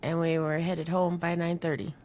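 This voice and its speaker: A tired woman with a low voice co-hosting a relaxed home podcast. She laughs easily and tells things in an agreeable, chatty way. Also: normal speed. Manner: giggly, agreeable, recounting. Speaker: podcast co-host. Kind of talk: casual chat.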